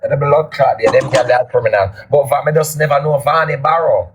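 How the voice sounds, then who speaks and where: A man with a Jamaican accent, boasting loudly and often shouting in a casual live chat. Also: medium pitch, fairly even intonation, brisk, noisy background.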